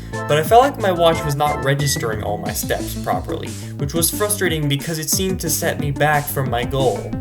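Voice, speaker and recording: A man narrating his vlog in scripted voice-over, wry and self-deprecating. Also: on the high side. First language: English. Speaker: vlogger narrator. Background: background music.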